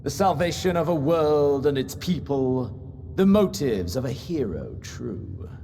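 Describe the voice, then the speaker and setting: An actor playing a battle-hungry, brooding warrior rival in a video game, with grave, theatrical, deliberate delivery and a gravelly voice. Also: male voice, a little high, animated, mellow, slight background noise. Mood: grave challenge. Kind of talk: dramatic video game voice acting.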